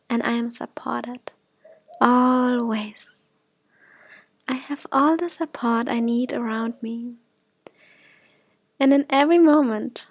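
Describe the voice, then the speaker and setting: A woman leads a guided affirmation meditation in a fairly high voice. She speaks very slowly and gently, softening often into breathy near-whispers. The sound is close and dry. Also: no room sound.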